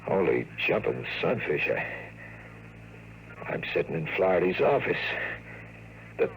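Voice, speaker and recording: A hard-boiled radio hero, his mid-pitched voice swinging widely with drama. He is stubborn and bewildered, and the vintage recording has a light layer of noise. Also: lively intonation, measured pace, low-quality recording. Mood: dazed, shaken. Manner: dramatic, stammering when shaken. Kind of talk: 1950s radio drama acting.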